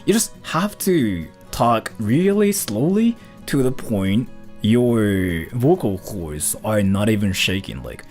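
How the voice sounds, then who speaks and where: A man's voice: on the low side, sing-song, slow pace, darkish tone, soft background music. A man from Japan, a streamer, chatting casually and answering viewer questions in a rambling, loose way. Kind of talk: casual livestream chat, reading viewer questions.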